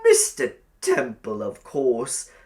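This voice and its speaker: A man voicing a sweet, eerily doting middle-aged landlady in an audiobook, fussy and gushing, with drawn-out emphasis. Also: medium pitch, wildly swooping pitch, a little mellow. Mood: gushing, admiring. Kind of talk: audiobook character acting.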